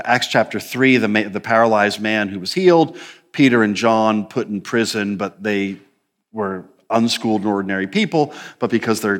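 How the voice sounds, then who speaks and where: A man's voice: lowish voice, a bit bright. A man in his fifties from New Jersey with an American accent touched by Scottish, a prison chaplain telling his story in a church interview. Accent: American with a slight Scottish tinge. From New Jersey, United States. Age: 50s. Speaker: prison chaplain and theology teacher.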